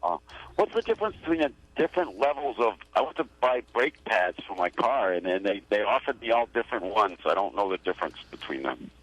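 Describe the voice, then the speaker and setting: A man's voice: on the low side, big pitch swings, fairly quick, fairly bright tone, slightly rough, dry recording, muffled recording. A man calling a radio show, asking plain questions in a casual way. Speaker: caller.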